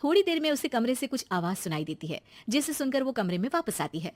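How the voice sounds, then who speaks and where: A woman's voice: on the high side, sing-song, fast talker, a little mellow, no echo. A woman narrating a scripted cartoon story as its storyteller.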